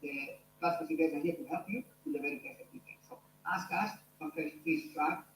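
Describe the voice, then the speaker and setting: A man's voice: a little high, lively intonation, a little fast, echoey room, rough recording. A man with an Italian accent, a university lecturer speaking to his online class in an earnest way, with many fillers and restarts. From Italy.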